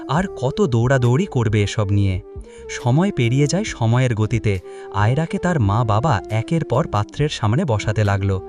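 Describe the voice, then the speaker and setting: A man narrating a scripted dramatic love story as a storyteller. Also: medium pitch. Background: music.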